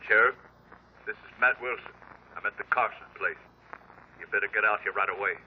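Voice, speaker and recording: A man's voice: lowish voice, a little fast, slightly rough, narrow, tinny audio. An actor playing an urgent countryman phoning the sheriff in a 1950s radio drama. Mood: urgent, grim. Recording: noisy, band-limited old radio recording.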